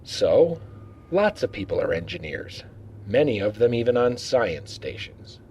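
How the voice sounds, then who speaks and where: A man's voice: fairly low pitch, big pitch swings, a little slow, darkish tone, slight background noise. A man playing a stern, wry acting starship captain in a science-fiction audio drama, with a dry, commanding manner. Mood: probing. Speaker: voice actor in fan audio drama.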